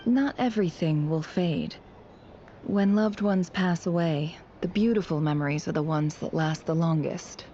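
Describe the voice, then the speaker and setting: Courteous, knowing game character: mellow, measured, formal, a little muffled. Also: female voice, sits low, slight background noise. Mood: reassuring. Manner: polite, measured, formal phrasing. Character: courteous, perceptive, composed woman, gently teasing. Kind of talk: video game voice acting.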